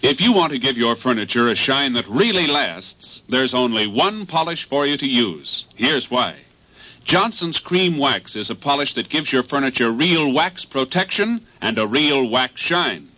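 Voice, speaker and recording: A man's voice: medium pitch, pushed and bright. A man reading a 1950s radio commercial in an emphatic, persuasive manner. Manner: emphatic, persuasive sales delivery. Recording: muffled old radio recording.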